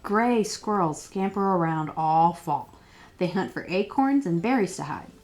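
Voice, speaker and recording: A woman's voice: fairly low pitch, lively intonation, unhurried, darkish tone. A woman reading a children's picture book aloud as a storytime librarian, expressively and with questions put to young listeners.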